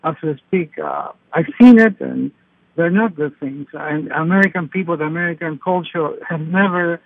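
A man from Chile calling in to a radio show on his cell phone, talking haltingly with many restarts. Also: a little high, gravelly, no room sound. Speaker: caller. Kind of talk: call-in comment. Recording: tinny cell phone line.

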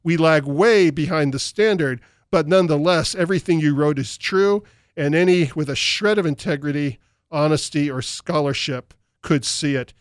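A man's voice: on the high side, moderate pace, dry recording, studio quality. Emphatic man hosting talk radio. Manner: emphatic, opinionated delivery. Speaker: radio talk show host. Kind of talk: talk radio commentary and reading listener emails. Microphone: studio microphone.

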